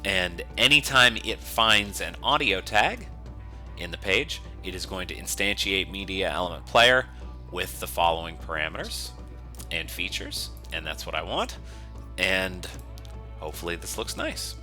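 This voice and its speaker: A man's voice: low voice, sing-song, unhurried, pushed and bright, faint music behind. A man giving a coding tutorial, explaining each step casually and methodically in an unscripted screencast style. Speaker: software developer giving a tutorial.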